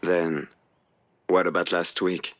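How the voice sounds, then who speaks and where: Deep-voiced wry ex-boyfriend. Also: male voice, level tone, takes it slowly, fairly bright tone. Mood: earnest. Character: wry, cocky, hopeful ex-boyfriend. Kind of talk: naturalistic visual novel voice acting.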